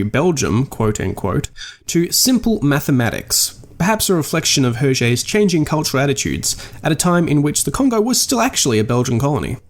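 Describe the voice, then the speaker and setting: A man narrating a scripted video essay as a podcast host, with dry, wry understatement and articulate, formal wording. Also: medium pitch.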